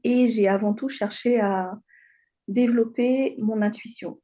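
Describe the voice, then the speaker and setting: A woman's voice: a little high, fairly even intonation, measured pace, mellow, lo-fi sound. A woman in her thirties from Réunion, telling her personal story as a radio guest, hesitant with many fillers. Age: 30s.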